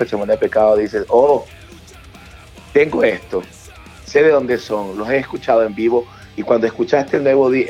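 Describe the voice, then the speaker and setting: A man's voice: medium pitch, dark tone. A man with a coastal Ecuadorian accent chatting informally as an interview guest over the phone, often repeating his phrases. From Manabí, coastal Ecuador. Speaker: guest, rock band singer and leader. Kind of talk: casual interview chat. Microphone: telephone call. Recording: dull phone line, music behind. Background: music.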